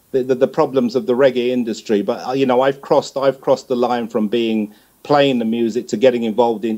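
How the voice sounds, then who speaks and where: A man's voice: medium pitch, warm tone. A middle-aged man giving a conversational interview answer as a guest, often repeating words as he feels his way along. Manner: hesitant, repeats words as he goes. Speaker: guest, music promoter and manager.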